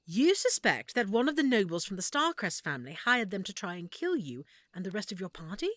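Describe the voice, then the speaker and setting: A woman playing an affectionate, excitable half-elf shopkeeper in a roleplay audio drama, speaking expressively and warmly to the listener. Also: medium pitch, fairly quick, no room sound. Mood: intrigued, attentive. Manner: expressive, warm, reacting with feeling.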